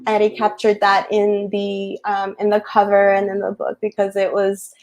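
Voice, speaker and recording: A woman who grew up speaking Spanish first, talking casually as a picture book author on a video call, animated and hesitant with many ums. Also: mid-range voice, normal speed. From United States. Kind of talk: casual webinar talk.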